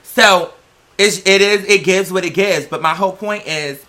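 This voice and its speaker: A man's voice: high voice, normal speed, pushed and bright. A man telling personal stories in a casual, slangy rant, with a sassy, confrontational and emphatic manner.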